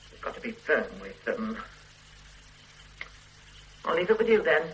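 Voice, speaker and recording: An actress playing a fussy, complaining wife confined to bed with a bad back, in a radio drama. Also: female voice, deep voice, very animated, unhurried, low-quality recording. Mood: peevish. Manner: fussy, petulant and demanding. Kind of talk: 1970s radio drama acting. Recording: old radio recording with faint hiss.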